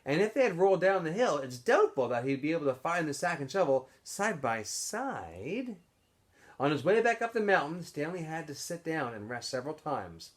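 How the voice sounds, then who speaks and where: A man reading a story aloud like a teacher to students, in expressive narration. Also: on the high side, moderate pace.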